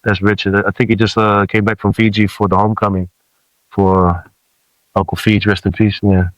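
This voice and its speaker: Low-voiced man telling stories, dry sound. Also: normal speed, no room sound, poor audio. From Samoa. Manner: relaxed storytelling with many fillers. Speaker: rapper guest. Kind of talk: casual interview talk.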